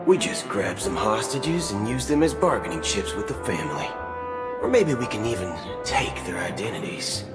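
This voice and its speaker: Swaggering cyborg cowboy with animated delivery. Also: male voice, lowish voice, normal speed, over loud music. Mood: sly, confident. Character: brash male cyborg cowboy ranger, reckless and scheming. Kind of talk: video game voice acting.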